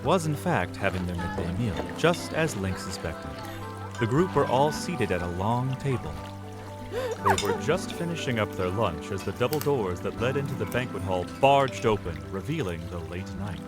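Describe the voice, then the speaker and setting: A man narrating an audio drama in a dramatic, scripted storytelling style. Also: fairly low pitch.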